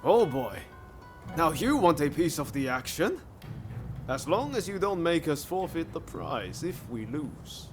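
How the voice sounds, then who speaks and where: An actor playing a loyal, encouraging companion to a samurai-era fighter in a video game, informal and supportive. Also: male voice, a little high, big pitch swings, a little slow. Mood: wary. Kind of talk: video game cutscene acting. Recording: loud music behind the voice. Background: music.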